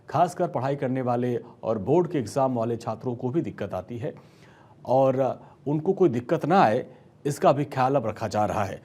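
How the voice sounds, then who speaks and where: A man's voice: mid-range voice, warm tone, husky. A man reading a scripted news report as an anchor, with emphatic delivery.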